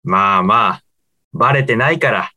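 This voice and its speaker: Man acting earnest young guy with lively intonation. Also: mid-range voice, moderate pace. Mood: light, carefree. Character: earnest young male alien living as a student. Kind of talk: amateur student radio drama acting.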